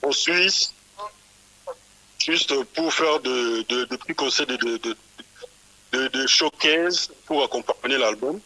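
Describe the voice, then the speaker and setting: Bright-voiced man, halting speech. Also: medium pitch, low-quality recording. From Kinshasa, DR Congo. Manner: stumbles and repeats small words while thinking. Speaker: musician guest. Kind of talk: radio interview.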